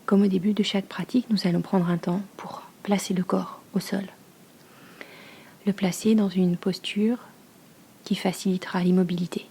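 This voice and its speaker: A woman leads a guided meditation as a yoga nidra teacher, speaking slowly in a hushed, soothing manner with long pauses and a soft, breathy voice. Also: medium pitch, level tone, a little hiss or hum.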